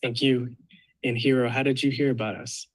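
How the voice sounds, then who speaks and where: Young guy moderating an online panel, lots of uhs, middle pitched voice. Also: level tone, poor audio. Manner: halting, many filler uhs.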